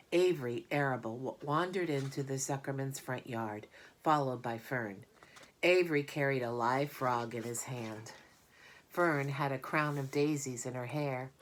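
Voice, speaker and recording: A woman narrating a children's storybook aloud as a reader. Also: a bass voice. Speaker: audiobook reader.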